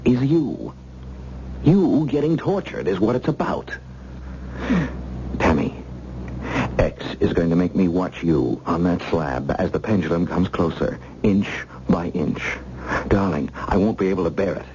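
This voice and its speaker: A man playing an earnest, protective husband in anguish, halting and emotional in old-time radio thriller style. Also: low voice, expressive, warm tone. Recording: dull, faintly hissy old radio recording.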